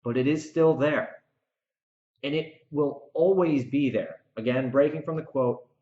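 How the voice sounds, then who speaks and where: Casting: man, mid-pitched voice, solo podcaster, preachy and repetitive for emphasis, roomy lo-fi recording. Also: warm tone. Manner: emphatic, preachy, repeats phrases for stress. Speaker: podcast host and author. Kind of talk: rambling solo podcast commentary. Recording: roomy echo, slightly dull lo-fi sound.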